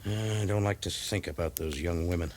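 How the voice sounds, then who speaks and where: An actor playing a grave, commanding cavalry major in a 1950s radio western, formal and authoritative. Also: male voice, deep voice, normal speed, natural voice. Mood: troubled, questioning. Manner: formal, weighing words, authoritative. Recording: faintly noisy 1950s radio recording.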